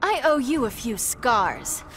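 A woman's voice: a little high, big pitch swings, slow pace, loud music behind the voice. A woman playing a plucky young superheroine in a video game, confident, flippant and defiant. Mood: defiant, sassy. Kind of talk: video game character acting.

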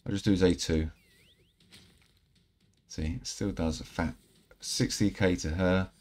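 Low-voiced man, slightly croaky. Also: unhurried. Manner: relaxed, rambling, self-correcting. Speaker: gaming channel host. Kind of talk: casual gameplay commentary. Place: indoor room at home.

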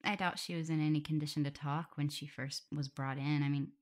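A woman's voice: low-pitched, fairly even intonation, fairly quick, lightly acted. A woman playing a shy, caring, self-doubting young werewolf in an intimate ASMR roleplay, speaking hesitantly. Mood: gentle, curious. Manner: hesitant, stammering, soft, breathy whispers at times.

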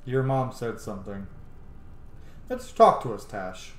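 Animated male gamer, roomy sound. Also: medium pitch, measured pace, slight background noise. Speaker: gameplay streamer. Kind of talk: casual playthrough commentary. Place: small room at home, indoors.

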